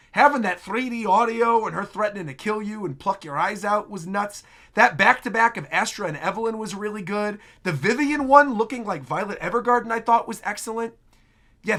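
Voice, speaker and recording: A man's voice: high voice, fairly bright tone. A man giving casual, effusive reaction commentary full of slangy exclamations, as the host of a video.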